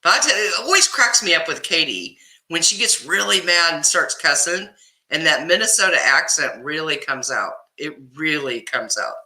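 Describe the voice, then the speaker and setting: A talkative woman with a Kansas accent hosts a live chat, greeting viewers by name and laughing easily. Her voice is very bright and rises and falls a lot. The room around her rings with echo. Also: low-pitched, expressive, moderate pace. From Kansas, US Midwest. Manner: chatty, laughs often, answers viewers by name. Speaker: livestream host reading chat.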